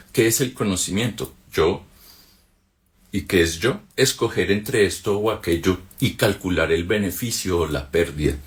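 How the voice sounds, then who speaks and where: A man from Colombia with a Colombian accent, giving a reflective spoken commentary on a philosophical text, checking in with his listeners through quick rhetorical questions. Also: low-pitched. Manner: conversational, asks rhetorical checks like "ok?" and "mm?". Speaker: spiritual teacher.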